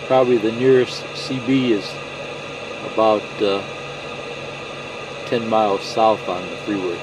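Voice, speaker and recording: A man's voice: fairly low pitch, a little slow, noisy background. A man giving a casual, plain-spoken how-to explanation in a conversational way. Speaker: product demonstrator.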